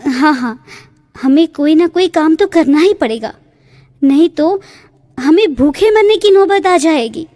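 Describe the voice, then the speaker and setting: A woman's voice: sits high, expressive, a little slow, warm tone. A woman playing a poor farmer's anxious wife in a cartoon story.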